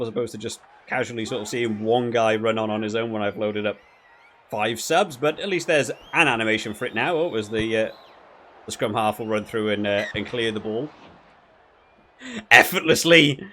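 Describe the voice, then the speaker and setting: A man giving casual, critical gameplay commentary, thinking aloud in a halting way and repeating words as he goes. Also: medium pitch, a bit bright, slight background noise. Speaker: gaming video creator reviewing while playing.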